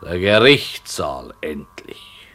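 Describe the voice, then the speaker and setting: Slow, deep-voiced wry writer. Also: male voice, sing-song, pushed and bright, heavily acted. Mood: musing, descriptive. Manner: deliberate, wry, halting descriptive phrases. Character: ironic, detached writer slowly conjuring his story's scenery. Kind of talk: 1960s German radio play narration. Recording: noisy old radio recording, low rumble.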